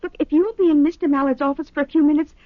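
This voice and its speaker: Actress doing high chatty secretary, eager and halting, dry muffled old radio sound. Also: female voice, steady tone, no echo, lightly acted. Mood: chatty, helpful. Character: chatty, helpful, scatterbrained female office secretary. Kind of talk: 1970s radio drama acting. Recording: dull lo-fi old radio recording.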